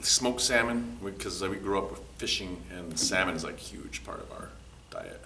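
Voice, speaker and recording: A man's voice: sits low, normal speed, vocal fry, background noise. A middle-aged man answering interview questions in a laid-back, reflective way. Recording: echoey room, distant mic.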